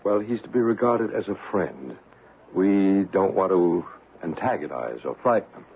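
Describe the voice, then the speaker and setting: An actor playing a calm, courteous paranormal investigator in a radio drama, speaking in a measured, reassuring, formal manner. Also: male voice, low-pitched, phone-quality sound. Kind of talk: old-time radio drama acting. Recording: band-limited, noisy old radio recording.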